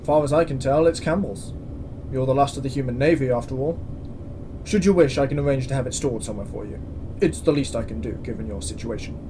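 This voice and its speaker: A man acting as a stern commandant running a space station in a sci-fi audio drama. He speaks in a measured, formal way at a middle pitch, with dry authority. Clear background ambience sits behind him. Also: noisy background, natural voice. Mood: measured, grave.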